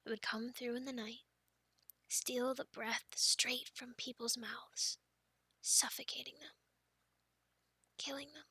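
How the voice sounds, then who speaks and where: A woman playing a playful, expressive young friend telling stories in an ASMR roleplay, with breathy touches in her delivery. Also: on the high side, takes it slowly, pauses often, dry recording, heavily acted. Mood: hushed, solemn. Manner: expressive, teasing, breaking into breathy near-whispers.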